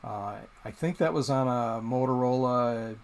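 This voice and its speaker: A middle-aged man from Michigan hosting a casual live stream, rambling with plenty of pauses and restarts as he talks to viewers in chat. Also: medium pitch. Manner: rambling, hesitant, many ums and restarts.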